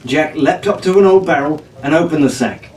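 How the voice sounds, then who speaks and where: A man's voice: on the high side, fairly quick, a little mellow, a little hiss or hum. A man reading a pirate story aloud in a playful, theatrical, drawling way. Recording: echoey room from far off.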